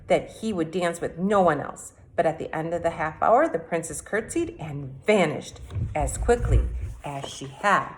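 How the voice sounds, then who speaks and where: Lower-pitched woman reading a storybook aloud. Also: animated, measured pace, slight background noise, low-quality recording. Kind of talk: expressive storybook read-aloud.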